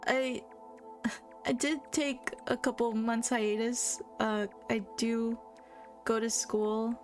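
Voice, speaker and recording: A young woman streamer in college chatting casually while playing a game, soft-spoken and fairly monotone. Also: on the high side, takes it slowly. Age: young adult. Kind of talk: casual live-stream chat while gaming. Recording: game music playing behind. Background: game music.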